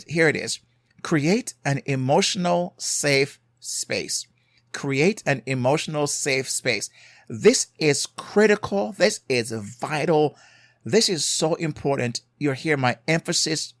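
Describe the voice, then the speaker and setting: A man's voice: a little high, fairly bright tone, no room sound, clean studio sound. A man with a Jamaican accent hosting a solo podcast, giving earnest marriage advice, stressing key points by repeating them and checking in with "right?".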